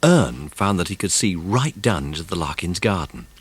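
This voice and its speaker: A man's voice: low voice, sing-song, moderate pace, husky, no echo. A man narrating a children's mystery story from a script, as in an audiobook.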